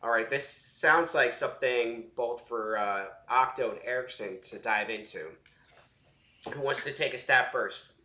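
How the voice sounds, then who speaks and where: A man's voice: mid-range voice, measured pace, bright, forceful tone, echoey room. A man hosting a casual crypto livestream, sounding congested from a cold and laughing easily. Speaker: livestream host reading headlines.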